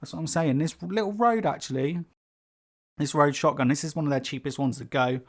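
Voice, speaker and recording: A man, a YouTube creator, talking casually and rambling with fillers and asides; his voice is sibilant and his throat is rough. He is in a small carpeted home room with foam on the walls, recorded on a condenser mic overhead. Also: a little high, a little fast. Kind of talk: casual unscripted talk to camera. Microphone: pencil condenser on a stand above the speaker.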